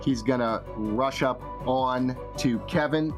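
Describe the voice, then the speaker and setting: A man's voice: mid-range voice, slow. A man narrating a tabletop role-playing game as game master, casual and free with swearing. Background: music.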